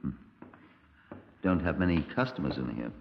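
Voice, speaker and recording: A British police detective with a very low voice. He is laconic and matter-of-fact, in the manner of 1950s radio drama. The old recording is dull, with a long reverberant ring. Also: very animated, moderate pace, gravelly, a little hiss or hum, full character voice. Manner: understated, clipped, conversational.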